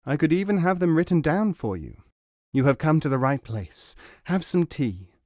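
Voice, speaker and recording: A man's voice: on the high side, animated, moderate pace, warm tone, no room sound, pitched above the performer's own voice, natural voice. A courtly old Persian bookseller, gracious and formal, his voice lifted a little and dry, as a man reading the story puts him on. He talks with polite ceremony, in a close, dead sound.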